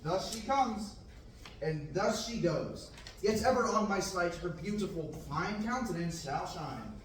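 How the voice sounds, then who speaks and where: A man's voice: high voice, animated, a little slow. A young man reading an eager, dreamy young farm boy in archaic verse, earnest with bursts of theatrical exclamation. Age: young adult. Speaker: table-read actor. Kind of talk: amateur Shakespearean-verse table reading. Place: large classroom indoors. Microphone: far camera. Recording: very echoey room, far-off mic, faint noise.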